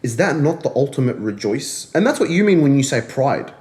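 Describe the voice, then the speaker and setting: A young man at an ordinary middle pitch talks with conviction, stacking repeated phrases to drive his points home. He sounds like a casual podcast co-host making a case. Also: big pitch swings, fairly quick, darkish tone. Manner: earnest and emphatic, repeats phrases for stress.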